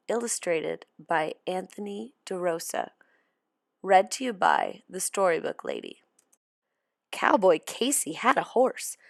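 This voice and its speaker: A woman narrates a children's story in an expressive read-aloud style. Her delivery is slow with widely varied pitch, and the sound is dry, free of room echo. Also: vocal fry. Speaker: storybook reader.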